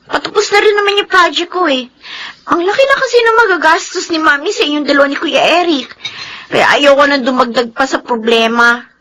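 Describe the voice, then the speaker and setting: A woman's voice: high-pitched, brisk, close to an everyday voice, low-quality recording. A woman with a Filipino accent playing a sensitive younger daughter, a student, in a radio drama. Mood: resigned.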